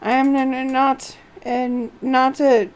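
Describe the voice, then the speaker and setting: A woman voicing a timid, hopeless little kobold from a game, with a halting stammer and dramatic delivery. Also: high-pitched, flat delivery. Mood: frightened bluster. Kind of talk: reading game dialogue aloud in character.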